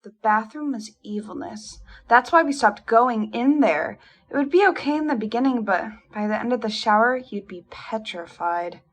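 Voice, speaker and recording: High-voiced woman as a spooked sibling. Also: animated, normal speed, hardly any pauses. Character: balky sibling spooked by the family's haunted house. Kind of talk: audio play dialogue.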